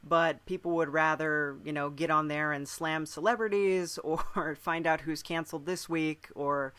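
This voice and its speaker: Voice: mid-range voice, fairly even intonation, unhurried, a bit bright, smooth, no echo. A podcast host in casual interview conversation, speaking thoughtfully with hesitant phrasing.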